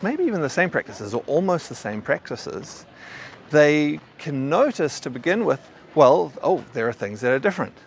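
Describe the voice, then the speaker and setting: A man with a mild New Zealand accent, a qigong teacher talking casually and thoughtfully to camera, often stammering over restarts. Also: medium pitch. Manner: thoughtful, rambling, frequent stammered restarts and fillers.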